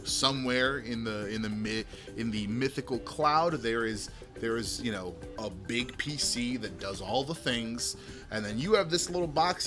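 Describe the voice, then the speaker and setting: A man hosting a tech show in casual, rambling commentary with a loud speaking voice, often repeating and restarting his phrases, close to a microphone. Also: mid-range voice. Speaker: tech video host. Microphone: microphone close in front.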